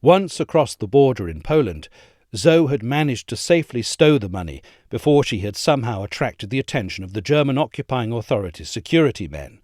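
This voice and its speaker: A man reading a history essay aloud in a measured, expressive storytelling manner, close to a studio mic. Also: medium pitch, no room sound. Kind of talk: scripted narration.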